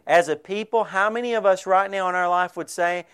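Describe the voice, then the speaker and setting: Clear-voiced male pastor preaching. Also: sits high, brisk, a bit bright. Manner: earnest, direct, many rhetorical questions to listeners. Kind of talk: sermon to a congregation.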